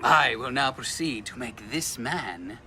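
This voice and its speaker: A man's voice: mid-range voice, expressive, measured pace, crowd noise, natural voice. A man playing a flamboyant, bumbling swindler of a street magician with theatrical showman patter in a comic audio drama. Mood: showy.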